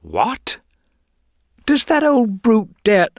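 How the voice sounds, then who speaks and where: Male reader as reckless young man, indignant, blustering, raised pitch, swooping intonation, audio story. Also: huge pitch swings, extremely slow, no echo, clean studio sound, full character voice. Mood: indignant, flustered. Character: tall, thin, irritable young man, reckless spendthrift. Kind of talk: dramatised audiobook reading.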